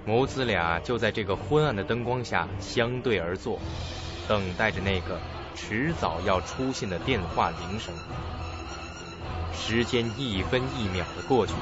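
A male narrator tells a suspense story in a fairly low voice with lively swings of pitch. His delivery is dramatic and scripted, over music. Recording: dull lo-fi audio with music.

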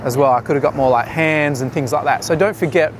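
A man in his thirties directing a beach photo shoot, relaxed and chatty, with many restarts and fillers, recorded outdoors at the shore. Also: fairly high pitch, fast, background noise. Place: open sandy beach at sunset, outdoors. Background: music.

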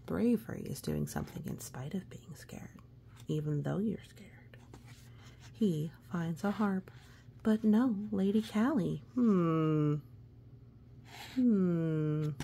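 A woman reading a children's storybook aloud expressively, with gentle asides and hushed, suspenseful phrasing. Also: on the low side, takes it slowly, creaky, pauses often, slight background noise. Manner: expressive, hushed suspense, often dropping to a whisper. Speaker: storybook reader.

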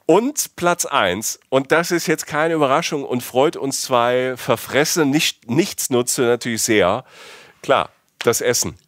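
A man's voice: medium pitch, a little slow, a bit bright, no echo. Man, middle-aged, podcast host, casual chat, enthusiastic, dry close sound. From Palatinate, south-west Germany.

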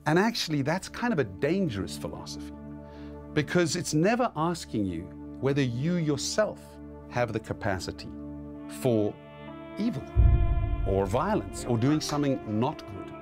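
A man's voice: mid-range voice, very animated, normal speed, over music. A middle-aged man, a film director, speaking in a documentary interview. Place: indoor interview setup.

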